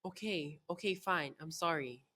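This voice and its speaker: A woman playing a low-voiced, teasing old grandmother. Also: normal speed, pushed and bright, husky, full character voice. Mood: amused, soothing. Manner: teasing, soothing, storytelling. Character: teasing, chuckling old Filipino grandmother storyteller.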